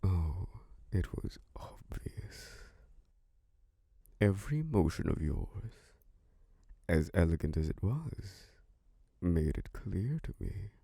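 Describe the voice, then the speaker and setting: A man voicing an ancient, charming and possessive male vampire lord in an ASMR roleplay, speaking slowly and flirtatiously with soft laughs. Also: very animated, no echo, studio quality, exaggerated voice. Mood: seductive. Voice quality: deep, gravelly. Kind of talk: ASMR roleplay audio drama.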